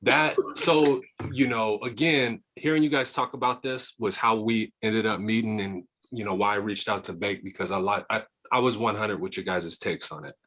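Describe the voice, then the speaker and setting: Man, low and husky, a bit stuffed up, speaks in a measured, explanatory way. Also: steady tone, a bit bright. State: congested from a cough. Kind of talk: casual chat.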